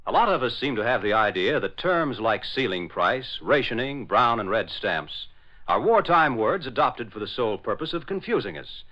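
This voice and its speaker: Animated American radio announcer, male. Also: medium pitch, moderate pace. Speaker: announcer and narrator. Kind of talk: 1940s radio scripted narration. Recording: dull old radio broadcast.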